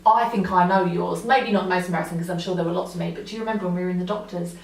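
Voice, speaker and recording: A woman's voice: lowish voice, fairly even intonation, racing. Young woman, chatty host, laughs a lot, distant mic, echoey room. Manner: chatty, laughing, asks questions. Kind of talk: casual family chat.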